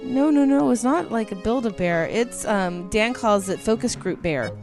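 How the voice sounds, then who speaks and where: A woman's voice: fairly high pitch, expressive, normal speed, a little mellow, faint music behind. A woman co-hosting a podcast, reading listener emails aloud in a casual, gushing way that is peppered with swearing and ums. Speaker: podcast co-host.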